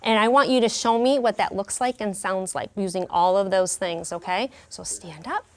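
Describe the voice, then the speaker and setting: A woman's voice: medium pitch, moderate pace. A woman teacher leading a classroom lesson for young children, speaking expressively and prompting them with questions. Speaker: elementary school teacher.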